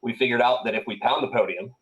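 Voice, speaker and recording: A man's voice: medium pitch, quick pace, fairly bright tone, muffled recording. A man from Colombia, a political candidate, answering interview questions with earnest campaign-speech delivery and frequent "uh" fillers.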